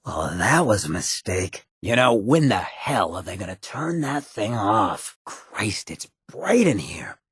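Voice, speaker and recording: A very raspy, gravel-throated rogue of a game character, swaggers through his lines like a small-town outlaw mayor. His pitch swings freely as he drawls streetwise, sardonic remarks. The recording is dry and close. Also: big pitch swings, slow, few pauses, fairly bright tone, dry recording, exaggerated voice. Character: swaggering roguish gravel-voiced mayor, wry hedonist outlaw. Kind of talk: video game character dialogue.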